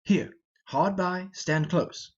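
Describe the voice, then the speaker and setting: A man voicing a brisk, scheming companion of thieves in an amateur reading of a Shakespeare play. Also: high voice, husky, muffled recording, exaggerated voice. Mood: brisk, conspiring.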